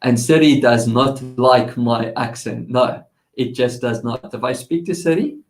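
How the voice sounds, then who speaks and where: A man's voice: medium pitch, a little slow, reverberant. A man with a South African accent, hosting a casual tech segment and chatting in a rambling way with lots of restarts. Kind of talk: casual chat.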